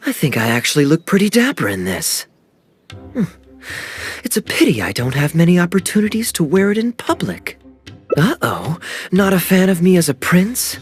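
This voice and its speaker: A suave young adventurer from a video game, smooth and teasing, his pitch swinging widely as he toys with each phrase. Game music hums softly under him. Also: full character voice. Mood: playful. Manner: smooth, playful and charming. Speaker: game character. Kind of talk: video game cutscene dialogue.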